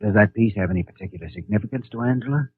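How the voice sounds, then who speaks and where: A calm, deep-voiced young doctor. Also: male voice, very fast, husky, no echo. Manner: calm, reassuring. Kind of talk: 1950s radio drama acting. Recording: tinny old radio recording with music.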